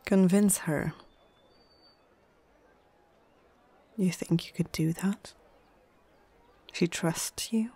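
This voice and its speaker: A woman playing a stern, proud female orc chieftain in a whispered ASMR fantasy roleplay, speaking in short, measured phrases with a husky, breathy voice. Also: lowish voice, mellow, no room sound, full character voice. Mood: wary, skeptical.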